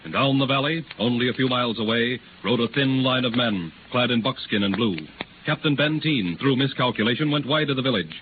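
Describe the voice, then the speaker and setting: A thin, noisy vintage radio recording carries a man's narration. He reads in a medium-pitched voice with florid, declamatory drama, in the scripted manner of 1930s broadcasts. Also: brisk, narrow, tinny audio.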